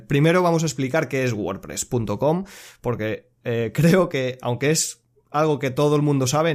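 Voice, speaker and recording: A man hosting a podcast, talking casually and hesitantly with frequent fillers. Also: mid-range voice. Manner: relaxed, hesitant with many fillers and restarts. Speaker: podcast host.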